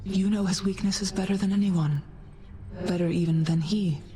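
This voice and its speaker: A woman's voice: mid-range voice, fairly even intonation, normal speed, dark tone, natural voice. A woman playing a grave, motherly ancient goddess in a video game, speaking in a formal, stately way. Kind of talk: video game character dialogue.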